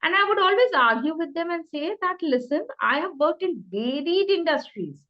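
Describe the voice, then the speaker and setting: A woman's voice: extremely high pitch, lively intonation, moderate pace, dull audio. A middle-aged woman with an Indian accent speaks conversationally as an interview guest, talkative and emphatic, often repeating phrases. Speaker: interview guest, career changer.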